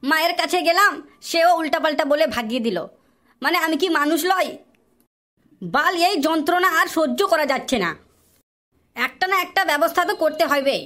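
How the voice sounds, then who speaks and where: Squeaky, aggrieved cartoon son. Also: fairly quick, long pauses, sharp tone. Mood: bitter, angry. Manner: whiny, quarrelsome, swearing. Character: aggrieved, foul-mouthed young son pestering parents for money. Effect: artificially pitched-up cartoon voice filter. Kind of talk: cartoon comedy dialogue with pitch-shifted voice.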